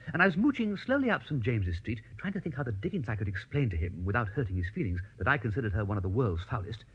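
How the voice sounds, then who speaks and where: An actor playing an amiable, dithering young upper-class English gentleman, chummy and exclamatory, in a radio comedy drama. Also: medium pitch, husky, muffled recording. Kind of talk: first-person narration and radio comedy acting.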